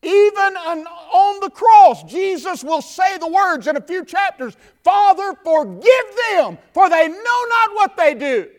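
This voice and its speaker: A man with a Southern US accent preaching a sermon from the pulpit, building to fervent shouts and dropping to quiet talk. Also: very high-pitched, lively intonation, measured pace, few pauses. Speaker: pastor. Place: church.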